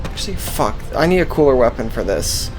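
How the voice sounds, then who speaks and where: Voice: medium pitch, very animated, a little fast. A young adult gaming streamer giving casual, sweary gameplay commentary in a sarcastic, mocking way. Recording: voice over game music.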